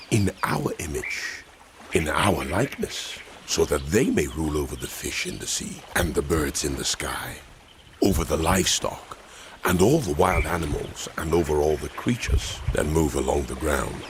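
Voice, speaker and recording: A man's voice: rumbling low, animated, measured pace, background noise. A man playing God as a solemn, commanding deity in a dramatized scripture reading. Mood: solemn, majestic. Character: God creating the world, commanding, majestic male deity.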